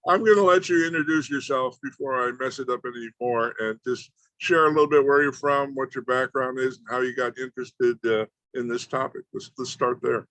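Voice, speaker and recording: A man's voice: mid-range voice, steady tone, brisk, hardly any pauses, a bit bright, no echo, low-quality recording. A man hosting an online class, casually introducing his guest and often correcting himself. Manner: rambling, self-correcting, repeats words. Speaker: host. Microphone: video call.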